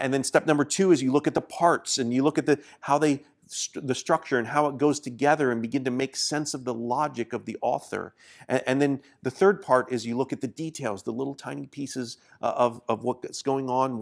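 A man's voice: mid-range voice, vocal fry. A man in his forties who grew up in Indonesia, teaching a sermon to camera in an earnest, encouraging way, often restarting his words. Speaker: pastor. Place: small studio set.

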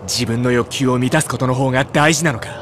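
An actor playing a cool-headed, unsentimental soldier captain in an anime game, speaking curtly and with command. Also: male voice, medium pitch, steady tone, brisk, noisy background, natural voice. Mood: cool, stern. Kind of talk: Japanese anime game voice acting.

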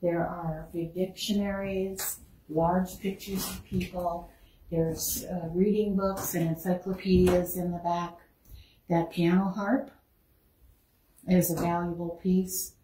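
A woman's voice: on the low side, flat delivery, takes it slowly, dark tone. A woman curator giving a casual guided tour of a museum, chatting about each exhibit. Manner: chatty, lingers on exhibits, laughs easily. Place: indoor museum room. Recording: roomy, distant mic, faint noise.

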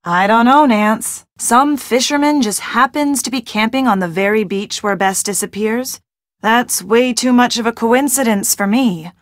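An actress plays a young woman in a mystery video game, the detective's anxious but sardonic friend. Her voice sits fairly high and is recorded dry and close. She talks in an expressive, conversational way with a wry edge. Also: no room sound, close to an everyday voice. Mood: suspicious, anxious.

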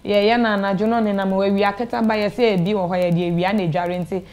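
Young woman, Ghanaian accent, medium pitch, interview guest, conversational personal storytelling, lapel mic in TV studio. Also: fairly even intonation, fast talker, darkish tone. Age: young adult. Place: small TV studio, indoors. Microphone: clip-on lapel mic.

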